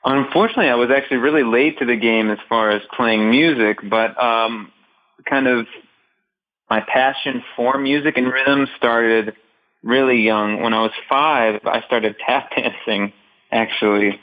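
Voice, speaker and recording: A man's voice: medium pitch, a little slow. A man in his thirties from Northeast Ohio, a guest drummer chatting upbeat and joking in an interview. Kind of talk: casual interview chat. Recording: slightly dull remote audio.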